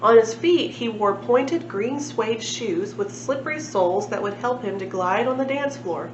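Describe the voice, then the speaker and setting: A woman's voice: on the high side, animated, unhurried. A woman reading a storybook aloud as a library reader. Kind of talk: storybook narration with casual asides. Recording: echoey room, dull sound, noticeable noise.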